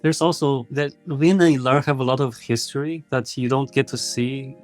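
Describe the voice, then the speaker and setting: A man's voice: medium pitch, steady tone, normal speed, darkish tone, faint music behind. A man talking casually as an indie game developer being interviewed.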